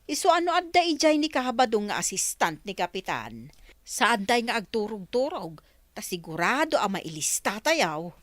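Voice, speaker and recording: Woman playing a gossipy village councilwoman, lively Ilocano voice. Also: mid-range voice, normal speed, no echo. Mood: grumbling. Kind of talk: Ilocano radio comedy drama acting.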